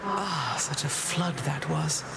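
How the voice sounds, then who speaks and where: A woman's voice: deep voice, takes it slowly, creaky, full character voice. An actress playing a stern, stately old grandmother goddess in a video game, with an imperious manner. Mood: stately, nostalgic. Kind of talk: scripted video game character acting. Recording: voice under very loud noise.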